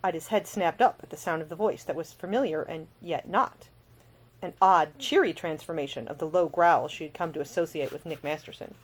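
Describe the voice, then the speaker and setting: A woman reading her own fiction as an audiobook, in an expressive storytelling manner. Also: low voice, fairly quick, low-quality recording. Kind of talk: scripted fiction narration.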